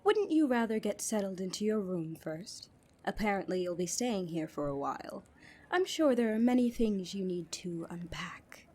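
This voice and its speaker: A woman's voice: mid-range voice, animated, moderate pace, darkish tone, slightly rough. A woman playing a loyal, guarded royal knight in a fantasy audio drama, speaking formally and with composure. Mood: cool formal politeness. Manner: formal, composed, coolly defiant. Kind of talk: fantasy audio roleplay acting.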